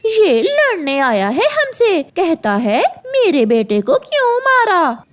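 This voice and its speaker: A woman's voice: extremely high pitch, wildly swooping pitch, slow pace, full character voice. A woman voicing a quarrelsome, complaining female crow wife in a cartoon, with a whiny manner. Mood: indignant. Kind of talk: Hindi cartoon dialogue.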